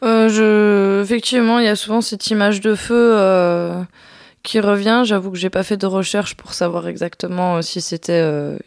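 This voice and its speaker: A woman co-hosting a casual podcast about a film, speaking in a thoughtful, measured way with some fillers. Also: medium pitch, fairly even intonation, gravelly, no echo, studio quality. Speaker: podcast co-host.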